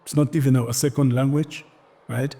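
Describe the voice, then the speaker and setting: A South African man in his sixties recounting his own history in an interview, firm and deliberate. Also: mid-range voice, fairly even intonation, creaky, warm tone. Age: 60s.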